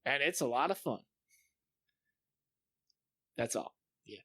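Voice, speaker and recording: A man's voice: mid-range voice, expressive, slow, fairly bright tone, breathy edge, no echo. A man co-hosting a podcast, chatting casually and enthusiastically about games, with some swearing. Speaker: podcast co-host. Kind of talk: casual gaming chat.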